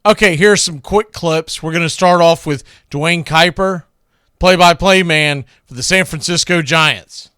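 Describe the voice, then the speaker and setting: A man's voice: fairly high pitch, unhurried, bright, forceful tone, clean tone. A man hosting a radio show, casually introducing classic sports clips.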